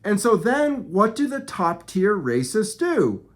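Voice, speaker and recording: A man with a high-pitched voice that swings widely as he speaks. He talks slowly and haltingly, doubling back on phrases in an informal, preachy monologue of personal opinion. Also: very animated. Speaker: video creator.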